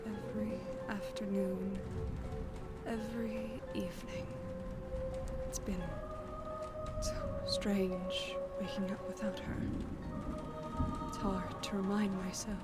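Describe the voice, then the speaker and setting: A woman playing a stern, reserved nun with a measured, formal way of speaking in an audio drama. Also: medium pitch, level tone, close to an everyday voice. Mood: solemn. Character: stern, composed, grieving tall nun who gardens. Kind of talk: audio roleplay character acting. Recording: loud music behind.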